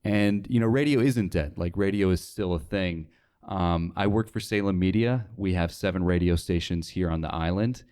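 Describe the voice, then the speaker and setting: A young man from North Dakota speaks as a guest in an interview. His voice is low, and his style is casual and conversational. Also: moderate pace, darkish tone, clean tone. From North Dakota, United States. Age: young adult. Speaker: interview guest, radio sales worker.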